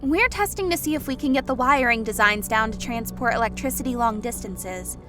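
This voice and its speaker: A woman's voice: fairly high pitch, fairly quick, lightly acted. A woman acting a chatty character in audio story dialogue. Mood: matter-of-fact. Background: music.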